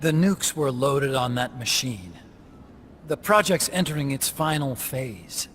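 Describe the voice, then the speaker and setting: An actor playing a talkative, earnest male weapons scientist in a video game cutscene, explaining things intently with dramatic pauses. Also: medium pitch, expressive, background noise, lightly acted. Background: music.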